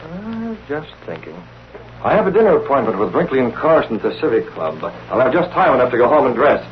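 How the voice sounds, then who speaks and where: An actor with an American accent playing a shrewd, composed newspaper boss in a 1930s radio drama. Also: male voice, mid-range voice, big pitch swings, brisk, lightly acted. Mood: pensive. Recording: muffled, noisy vintage radio recording.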